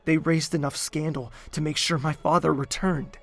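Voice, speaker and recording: A man plays a calculating young prince in a fantasy audio drama. The voice is breathy and rough-edged, a little high for a man. He is guarded and brooding, with light music underneath. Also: fairly even intonation, moderate pace. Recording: music behind the voice.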